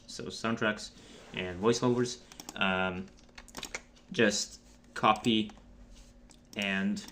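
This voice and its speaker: A man narrating a casual software tutorial, explaining in a halting, hesitant way with many pauses. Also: on the low side. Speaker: tutorial narrator for game mods. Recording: noisy desk mic, keyboard clicks. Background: keyboard typing and mouse clicks.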